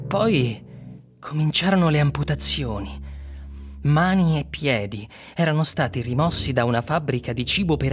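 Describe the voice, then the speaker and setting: A man's voice: a little high, lightly acted. An actor playing a man who solemnly reads an eerie literary tale aloud in a radio drama. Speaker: narrator and actor. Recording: music under the voice.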